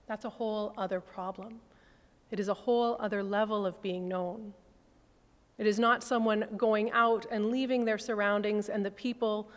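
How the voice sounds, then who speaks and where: A woman in her forties, a priest, preaching a sermon at a lectern, measured and reflective, with soft falling phrase endings. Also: medium pitch. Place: cathedral chancel at a lectern. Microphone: stand mic on lectern. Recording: long church reverb.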